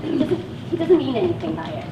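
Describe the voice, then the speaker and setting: A woman plays a harried van driver in a stage play, kind but exasperated. Her voice is high and lively in pitch. She sounds distant, in an echoey hall. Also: fast, background noise. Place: theatre stage, recorded from a distance. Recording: echoey stage, distant mic, music, audience.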